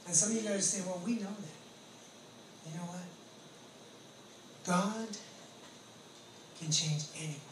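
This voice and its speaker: A man's voice: high-pitched, slow pace, long pauses. An American man in his late forties giving an earnest, emphatic testimony as a preacher, often repeating his phrases. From California, United States. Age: late 40s. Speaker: pastor and ex-convict preacher. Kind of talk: testimony sermon. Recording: roomy echo, distant mic, background noise.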